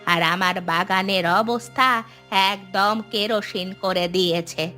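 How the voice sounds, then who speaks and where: Woman acting a bright-voiced elderly village mother, homely and grumbling. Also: medium pitch. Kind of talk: Bengali cartoon story dialogue.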